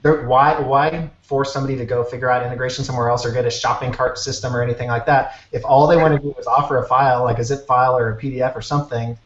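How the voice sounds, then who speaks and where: A man's voice: mid-range voice, fairly even intonation, fast, hardly any pauses, slight background noise. Roomy-sounding man chatting casually as a guest. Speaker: interview guest, app maker. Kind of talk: casual explanatory chat. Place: office. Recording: roomy, muffled video call from office.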